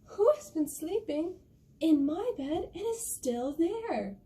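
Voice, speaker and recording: A woman voicing the small, upset littlest bear of a fairy tale, with squeaky, wailing complaints. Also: sing-song, takes it slowly, dark tone, full character voice.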